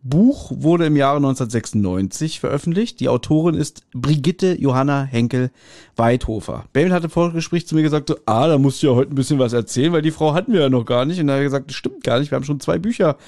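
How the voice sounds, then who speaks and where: A man's voice: mid-range voice, animated, fast talker, a little mellow, dry recording, studio quality. A middle-aged man co-hosting a podcast, reviewing audio drama in a casual, colloquial and jokey way. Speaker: podcast host.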